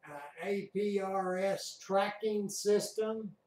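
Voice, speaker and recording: A man's voice: sits high, little pitch movement, slow, a little mellow, a little hiss or hum. A man giving unscripted weather radar commentary as host of an amateur radio net. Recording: distant mic in echoey room.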